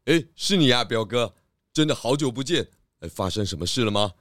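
Casting: man voicing a jovial cartoon pig sleuth, mid-range but very animated, playful and curious, for a kids' radio play. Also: mid-range voice, brisk, faint music behind, lightly acted. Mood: surprised, amused. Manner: friendly, playful, intrigued. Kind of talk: children's audio drama acting.

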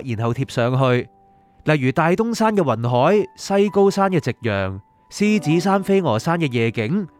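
Male actor as a young dead soul, reflective, peaceful, lively high voice, radio drama, music behind. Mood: nostalgic. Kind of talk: Cantonese radio drama acting and first-person narration.

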